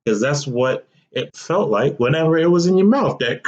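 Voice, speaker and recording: A young man hosting his own podcast in a casual, relaxed, conversational way. He talks straight to his listeners. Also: a little high, moderate pace, dull audio. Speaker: podcast host. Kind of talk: casual solo podcast talk.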